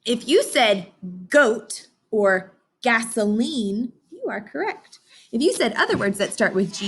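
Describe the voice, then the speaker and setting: A woman's voice: fairly high pitch, fairly bright tone, slight background noise. A woman teaching young children in an interactive read-aloud lesson, encouraging and instructional, often putting questions to her listeners.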